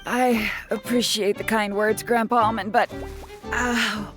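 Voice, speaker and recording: A woman's voice: medium pitch, steady tone, a little slow, natural voice. An actress voicing a polite, chipper female animal bartender in a video game, with slightly dramatic delivery. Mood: apologetic, strained. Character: talking animal bartender, female, apologetic, matter-of-fact, chipper. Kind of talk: video game voice acting, English dub. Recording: music playing behind.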